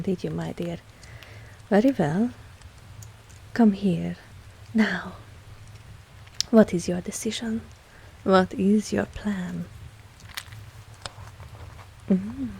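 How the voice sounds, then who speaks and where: A woman playing a seductive, possessive vampire mistress in an intimate ASMR audio roleplay, breathy and often whispering, teasing and commanding. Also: mid-range voice, dark tone, a little hiss or hum, poor audio. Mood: pleased, possessive. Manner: slow, teasing, dominant, with pet names.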